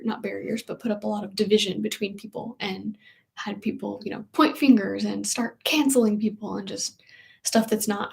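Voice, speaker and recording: A woman in her late twenties co-hosts a live stream. Her mid-range voice carries a lot of vocal fry, and she gives her views in a calm, matter-of-fact way. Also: medium pitch. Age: 20s. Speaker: co-host. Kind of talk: live Q&A, casual chat.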